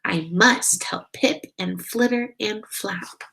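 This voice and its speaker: A woman voicing a timid, eager young female fruit bat who squeaks when excited. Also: slow pace, creaky, full character voice. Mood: cheerful.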